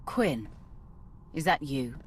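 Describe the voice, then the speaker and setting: A woman's voice: extremely low pitch, animated, slow pace, slight background noise, heavily acted. An actress playing a ruthless, imperious woman commander in a video game, speaking with cold, commanding menace. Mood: cool, imperious. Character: ruthless, imperious female Sith commander of a fleet. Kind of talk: video game cutscene acting.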